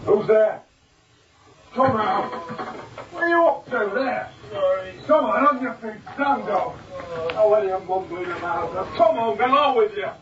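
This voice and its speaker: An actor playing a gruff, bullying ship's second mate who barks impatient orders in a radio play. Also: male voice, high voice, animated, a little slow, pauses often, a bit bright, muffled recording. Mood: angry, impatient. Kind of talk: radio drama acting.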